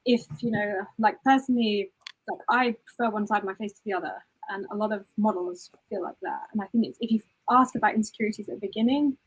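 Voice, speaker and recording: A fast-talking woman with a breathy, slightly husky voice. She talks in a loose and chatty way and often says "you know." She sounds like a guest on a video call. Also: medium pitch, poor audio. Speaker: guest photographer. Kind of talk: casual interview answers.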